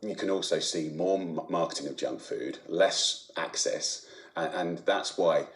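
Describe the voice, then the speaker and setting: A man talking informally as a commentator, halting and repeating words as he goes. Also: deep voice. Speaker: speaker on food poverty. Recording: roomy, echoing sound.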